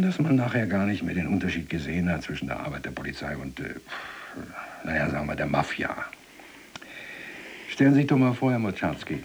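An actor playing a gruff, chatty German ex-policeman in prison, plain-spoken and indignant, rambling colloquially in a Berlin accent in a radio drama. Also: low voice, heavily acted.

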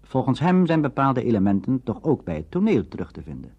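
Male documentary narrator, scripted and animated in intonation, on a muffled archival radio tape. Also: medium pitch, a little fast, husky. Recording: dull archival radio recording.

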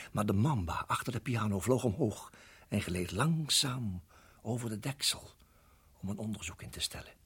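An actor playing a tense, protective husband in a radio play, telling a dramatic story in measured, suspenseful phrases that drop to hushed tones. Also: male voice, on the low side, slightly rough. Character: Bob, husband recounting a deadly snake ordeal, protective, tense. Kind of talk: radio play acting, dramatic first-person narration.

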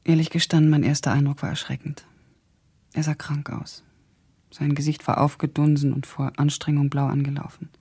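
A woman's voice: low voice, level tone, normal speed, warm tone, breathy edge, heavily acted. An actress playing an educated young Russian woman who recalls events reflectively and precisely in a radio drama. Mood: sober. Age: young adult. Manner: reflective, precise, descriptive storytelling. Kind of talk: radio drama monologue as recollection.

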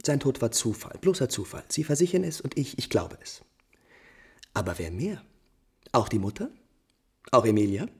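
An actor playing an imperious, anxious, quick-tempered prince in a classical German radio drama, speaking theatrically in abrupt questions and commands. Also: male voice, mid-range voice, very animated, breathy edge, full character voice. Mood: agitated, suspicious.

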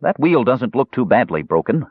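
Man playing a steady, husky half-elf warrior. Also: medium pitch, moderate pace, no echo, narrow, tinny audio, pitched above the performer's own voice. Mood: pleasant. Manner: pleasant, calm, firm. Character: steady, good-natured half-elf male warrior hero.

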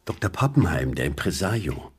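An actor in a radio drama playing a pharmacist who patiently placates his sick wife, with a breathy, husky voice. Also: low-pitched, big pitch swings, fairly quick, full character voice. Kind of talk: literary radio drama acting.

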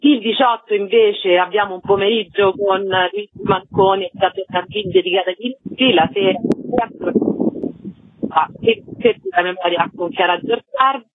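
A woman's voice: mid-range voice, normal speed. A woman presenting events as a festival organizer in a radio interview. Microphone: telephone call. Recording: narrow phone line, dry.

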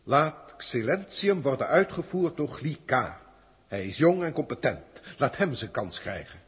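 An actor in a 1960s radio drama playing an old, emotional alien proctor, speaking theatrically in formal, archaic phrases with a husky voice. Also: male voice, mid-range voice, very animated, measured pace, fairly bright tone, full character voice. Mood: agitated, defiant. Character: old emotional alien senior proctor, male teacher, wry. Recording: reverberant 1960s radio studio recording.

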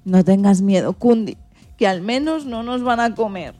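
An anxious little guinea pig acted by a woman pipes up high in a children's radio play. It sounds caring and pleading, with theatrical delivery. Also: expressive, moderate pace, warm tone, soft background music, lightly acted. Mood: anxious reassuring.

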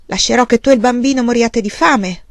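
A woman reading a story aloud and voicing a proud, desperate male frontier farmer who speaks in bitter, forceful outbursts. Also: medium pitch, brisk, no room sound, clean studio sound, lightly acted.

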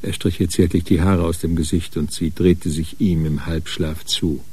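Low, dark, husky male narrator. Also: fairly even intonation, normal speed. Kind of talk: scripted radio drama narration.

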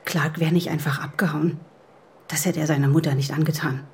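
A grieving, world-weary woman in a radio play. Her voice is low and husky, with a worn, breathy edge. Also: fairly even intonation, fairly quick, full character voice. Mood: grieving, subdued. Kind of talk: German audio drama acting.

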